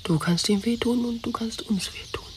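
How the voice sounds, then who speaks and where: An actress playing a grieving, reproachful woman who speaks in a hushed way in a radio drama. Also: on the high side, moderate pace, noisy background, close to an everyday voice. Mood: sad, reproachful. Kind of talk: intimate radio drama dialogue.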